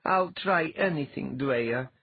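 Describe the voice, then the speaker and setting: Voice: mid-range voice, big pitch swings, normal speed, husky, phone-quality sound, voice pushed up. A reader doing a nervous, fervent girl. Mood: anxious.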